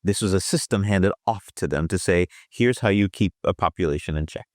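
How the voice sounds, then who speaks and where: A man on a roundtable panel, explaining in a measured way and listing his points. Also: deep voice, no room sound, clean studio sound. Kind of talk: roundtable discussion.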